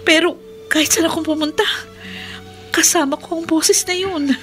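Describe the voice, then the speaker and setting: An actress playing a troubled, secretive wife and mother in a Filipino radio drama, speaking in a halting, earnest, confessing way. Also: extremely high pitch, fairly even intonation, fairly bright tone, soft background music. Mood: pained, earnest.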